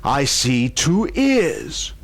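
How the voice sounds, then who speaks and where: A man voicing an implacable ghostly warrior servant in a horror story, declaiming slowly. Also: on the high side, very animated, voice pushed up, full character voice. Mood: grim, relentless. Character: implacable ghostly warrior servant claiming his grisly prize.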